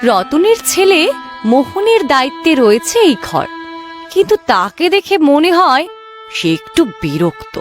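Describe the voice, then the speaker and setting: A woman's voice: high voice, wildly swooping pitch, measured pace, faint music behind. A woman reading scripted narration for a cartoon fairy tale, as its storyteller.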